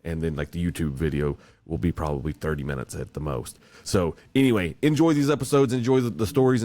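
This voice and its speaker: Male podcast host, very low voice, dry close sound, loose rambling delivery. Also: a little mellow, dry recording. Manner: rambling, restarts, upbeat hype. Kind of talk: casual video-call podcast chat.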